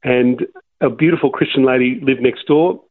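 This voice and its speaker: An Australian man in his sixties recounts his past at an easy, rambling pace, stopping and restarting often. His voice sits at an ordinary pitch and comes through a narrow, phone-like band with no room sound at all. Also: moderate pace, no room sound. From Melbourne, Australia. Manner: rambling, many restarts and fillers. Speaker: interview guest. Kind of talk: casual interview storytelling. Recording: dry, tinny phone-like line.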